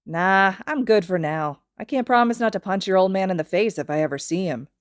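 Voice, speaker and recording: A woman's voice: medium pitch, brisk, no room sound, natural voice. Woman acting a sardonic young man, anime story character, blunt, guarded, challenging delivery, dry close sound. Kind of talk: anime fan fiction character reading.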